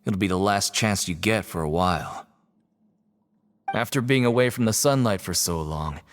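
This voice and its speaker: Jaded convict guide in a game, kinda low voice, offhand folksy talk, only helps for a price. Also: male voice, a little hiss or hum. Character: world-weary, mercenary male prison inmate working as guide. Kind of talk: English video game voice acting. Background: faint game music.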